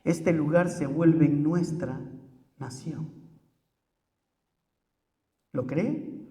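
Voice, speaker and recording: High-pitched Peruvian pastor preaching in a reverberant hall. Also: male voice, steady tone, drawn-out words, mellow. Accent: Peruvian Spanish. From Peru. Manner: emphatic repetition and rhetorical questions to the congregation. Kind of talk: sermon. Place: church hall.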